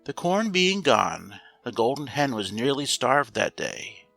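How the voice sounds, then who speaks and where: A man narrating a scripted fairy tale as a storyteller, reading aloud in a measured, expressive way. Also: medium pitch, vocal fry. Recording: roomy echo, faint music behind.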